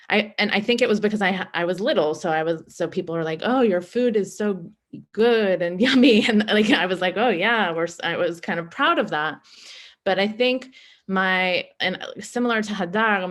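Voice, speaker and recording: A woman's voice: lowish voice, a bit bright. Chatty young woman from Oakland. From Oakland, California. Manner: chatty and upbeat, with many likes and ums. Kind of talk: casual panel talk on a video call. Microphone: video call.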